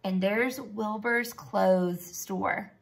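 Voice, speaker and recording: A woman's voice: medium pitch, fairly even intonation, very slow, creaky. A woman teacher reading a storybook expressively to young children, asking them simple questions and praising them. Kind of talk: expressive storybook read-aloud and lesson.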